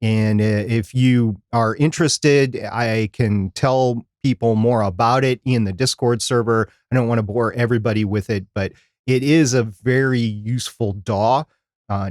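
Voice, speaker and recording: A man's voice: mid-range voice, steady tone, moderate pace, a little mellow, no echo, studio quality. A man co-hosting a podcast, giving his opinions in a casual live discussion. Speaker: podcast co-host.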